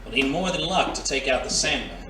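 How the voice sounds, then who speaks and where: An actor playing a brash, defensive young ex-con private eye in a noir radio drama, with streetwise slang. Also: male voice, mid-range voice, expressive, a little fast, natural voice. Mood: wary. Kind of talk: 1920s-style noir radio drama acting. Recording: roomy, distant, faint background noise.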